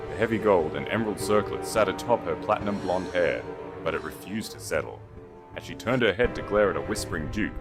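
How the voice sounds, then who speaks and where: A man reading dramatic scripted fantasy fiction as an audiobook narrator. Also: deep voice.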